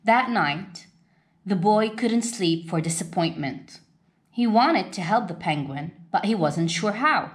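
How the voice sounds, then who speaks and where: A woman reading a children's picture book aloud as a storyteller, with expressive, dramatic delivery. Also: medium pitch, slow.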